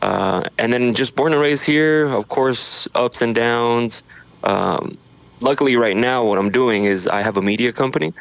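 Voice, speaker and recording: Man, from Idaho, relaxed, halting, dry studio mic. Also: mid-range voice, gravelly, no echo, rough recording. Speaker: guest, social media creator. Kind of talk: casual interview chat. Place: his home studio.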